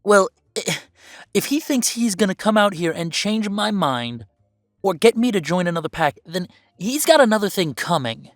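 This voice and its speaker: A woman's voice: medium pitch, animated, normal speed, no room sound, clean studio sound, faint music behind, close to an everyday voice. A woman playing a bitter, defiant werewolf big brother estranged from the family, curt and confrontational, in an audio drama. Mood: angry, defiant. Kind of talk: scripted audio roleplay drama.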